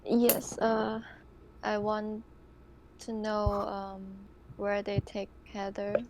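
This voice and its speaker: A woman playing a tabletop roleplaying game, speaking in a halting, casual way. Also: medium pitch, level tone, darkish tone. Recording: noisy background on the mic.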